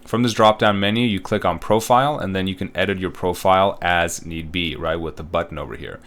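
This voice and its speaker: A man in his thirties with a low, deep voice, talking through an online course page as a college instructor. He rambles casually, leaning on fillers and tag questions as he explains. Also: fairly even intonation, brisk, fairly bright tone. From Uzbekistan. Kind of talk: recorded online course walkthrough.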